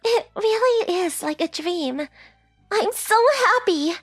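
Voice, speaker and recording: A woman acting out a lovestruck high school girl with an extremely high, sweet voice. She sounds earnest and affectionate, in anime romance dub style, recorded close and dry. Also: lively intonation, slow pace, no room sound, soft background music, full character voice.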